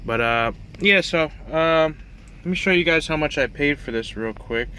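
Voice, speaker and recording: A man's voice: a little high, moderate pace, sharp tone, a little hiss or hum. A man talking in a casual, rambling, self-effacing way, like an unscripted hobby vlog by a truck driver. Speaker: truck driver and CB radio hobbyist presenting his setup.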